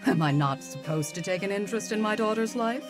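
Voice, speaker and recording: A woman voicing an inhuman creature posing as a mother in a visual novel, sugary, condescending and menacing, with theatrical, drawn-out endearments. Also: mid-range voice, music in the background, lightly acted. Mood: condescending, sweetly menacing. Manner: theatrical, drawn-out endearments, mock-hurt sighs.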